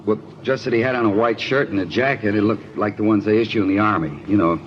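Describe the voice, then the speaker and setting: Actor as hesitant witness, noisy old radio. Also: male voice, fairly low pitch, steady tone, close to an everyday voice. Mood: unsure, matter-of-fact. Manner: halting, folksy, unsure of himself. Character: hesitant, plain-spoken male eyewitness to a shooting. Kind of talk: 1950s radio drama acting, understated. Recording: noisy, dull 1950s radio recording.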